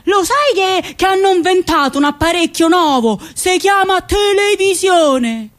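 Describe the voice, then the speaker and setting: Casting: actress playing news-bearing villager, high voice, Roman accent, chatty gossip. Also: female voice, expressive, a little slow. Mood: eager, newsy. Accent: central Italian, Roman. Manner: chatty, colloquial.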